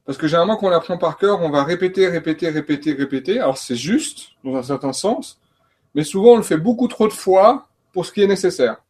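Man, coach and trainer, somewhat high voice, halting delivery. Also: expressive, a little mellow, breathy edge. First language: French. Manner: hesitant, many fillers and restarts. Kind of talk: panel talk on learning.

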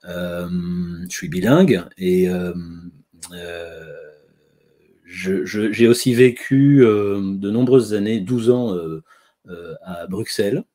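Un homme dans la cinquantaine qui raconte son parcours en hésitant, avec beaucoup de « euh », lors d'une visioconférence. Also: male voice, on the low side, warm tone. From France. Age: 50s. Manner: many drawn-out "euh" fillers, slow and searching. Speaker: guest speaker. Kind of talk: hesitant conversational storytelling.

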